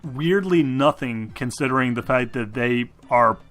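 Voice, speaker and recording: Halting man game-mastering over music. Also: mid-range voice, a bit bright. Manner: halting, casual, profane. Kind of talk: improvised tabletop game narration. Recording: music behind the voice. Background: background music.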